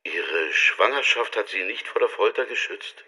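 An actor playing a sober tribunal questioner in a radio drama, speaking briefly. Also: male voice, fairly low pitch, expressive, moderate pace, sharp tone. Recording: reverberant hall, radio drama production.

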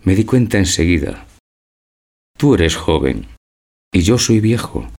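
A low-pitched, cultured old husband in a stage-like radio drama. He speaks with measured courtesy, devoted to his young wife and serenely resigned to her betrayal. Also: very animated, gravelly, a little mellow, breathy edge, full character voice. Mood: tender, resigned. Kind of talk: theatrical radio drama acting.

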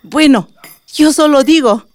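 A woman's voice: sits high, unhurried, a little mellow, no echo, faint music behind. Meddling, matchmaking grandmother played by a woman, elderly, insistent and teasing; radio drama, dry studio sound. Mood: nosy, insistent.

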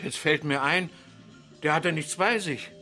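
Shaken innkeeper, radio crime drama. Slow delivery, higher-set male voice, music behind. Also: sharp tone, reverberant. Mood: subdued, recounting. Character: innkeeper shaken after finding a lodger dead. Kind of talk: 1970s German radio crime drama acting.

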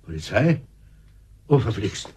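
A man's voice: fairly low pitch, big pitch swings, measured pace, a little mellow. An actor playing a flustered, obedient workman who is easily startled, in a German radio drama.

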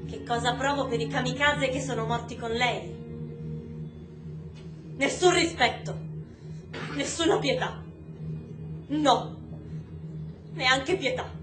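Actress as bitter, lucid female reporter in mourning. Theatrical monologue, emphatic, high-pitched, echoey with music behind. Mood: bitter anger. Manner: deliberate, emphatic repetition. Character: coldly furious, grieving American woman journalist, bitter and lucid. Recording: roomy stage recording with music.

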